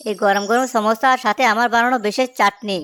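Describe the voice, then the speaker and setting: A woman's voice: fairly high pitch, steady tone, quick pace, fairly bright tone, slight background noise, natural voice. A woman voicing a village samosa seller in a cartoon story. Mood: humble, grateful. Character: village samosa sellers, one humble, one jealous.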